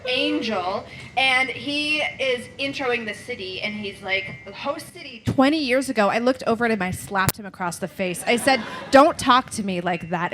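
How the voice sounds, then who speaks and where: A woman's voice: on the high side, a bit bright. A woman co-hosting a live podcast, trading casual, wry jokes with her fellow hosts. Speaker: podcast co-host. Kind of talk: casual comic banter at a live show. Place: live podcast event with audience. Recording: noisy live show, faint audience.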